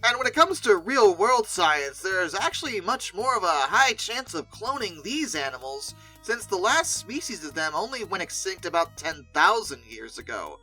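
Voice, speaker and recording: Video commentary host: bright, crisp-edged delivery, emphatic and opinionated, conversational asides, music underneath. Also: mid-range voice, big pitch swings, normal speed, hardly any pauses, poor audio. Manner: opinionated, emphatic, repeating phrases for stress. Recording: music playing behind the voice. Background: background music.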